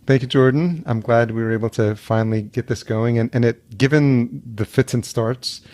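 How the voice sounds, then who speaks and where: A man's voice: mid-range voice, normal speed, a little mellow. Laid-back man hosting a podcast. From El Salvador. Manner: laughs often, loose and rambling. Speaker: podcast host. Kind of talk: casual interview chat. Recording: remote call audio.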